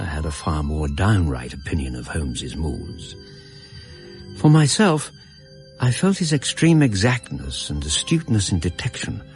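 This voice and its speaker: Deep-voiced English gentleman narrator. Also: exaggerated voice. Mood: reflective. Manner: measured, literary, storytelling. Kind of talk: scripted radio drama narration.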